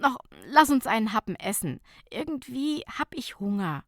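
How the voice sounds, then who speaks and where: A woman's voice: fairly high pitch, sing-song, moderate pace, no echo, clean studio sound, voice pushed up. A woman voicing a sassy, outspoken young woman with an emphatic, grumbling manner in a humorous audiobook. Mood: amused, resolute. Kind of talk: humorous audiobook character dialogue.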